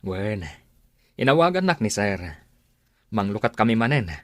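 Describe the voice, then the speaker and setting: A man's voice: fairly low pitch, big pitch swings, a little slow, no room sound, studio quality. A man with an Ilocano accent playing a good-natured, modest bar cook and older brother in a radio drama. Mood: polite, agreeable.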